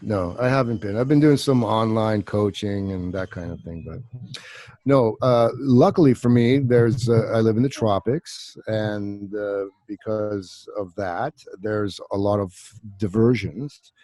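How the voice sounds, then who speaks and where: A man in his fifties with a low voice, a guest actor chatting casually, rambling and joking with plenty of swearing, on a video call with a desk mic at home. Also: a little slow, dark tone, dry recording. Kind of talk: casual interview chat. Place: home room. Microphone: desk microphone on video call.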